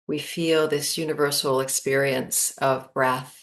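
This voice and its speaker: Slow, low-voiced woman guiding meditation. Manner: slow, calm, spacious phrasing with long pauses. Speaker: meditation guide. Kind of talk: guided meditation.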